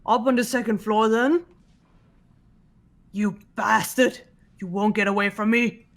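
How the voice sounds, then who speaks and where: A man's voice: sits high, steady tone, a little slow, gravelly, fairly bright tone, heavily acted. A man playing a stern, protective young fighter in a fan game dub, with an earnest, commanding delivery. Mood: urgent. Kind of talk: amateur game voice acting.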